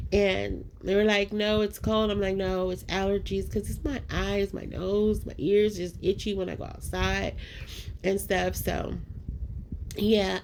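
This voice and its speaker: A woman's voice: medium pitch, creaky, a little mellow, slight background noise. A woman with a nasal, allergy-stuffed voice, chatting casually through a voice-over about her craft project, with lots of ums and likes. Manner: chatty and self-deprecating, many ums and likes, addresses viewers as y'all and guys. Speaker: craft vlogger.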